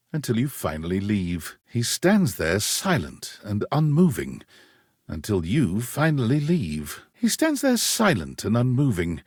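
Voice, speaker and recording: Narrator for an absurd romance game: deadpan storyteller, slightly rough texture, animated pitch, very dry recording. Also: male voice, no echo, exaggerated voice. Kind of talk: scripted narration.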